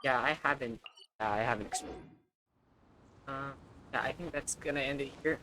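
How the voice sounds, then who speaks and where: A man's voice: medium pitch, normal speed, background noise. A young man giving casual, informal, reactive commentary while livestreaming a video game. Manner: informal, sweary. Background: game music.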